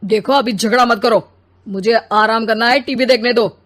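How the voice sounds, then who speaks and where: Woman playing a grumpy husband, highish voice. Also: fairly even intonation, moderate pace, a bit bright. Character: grumpy, demanding husband and father, Amar. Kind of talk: animated Hindi family story dialogue.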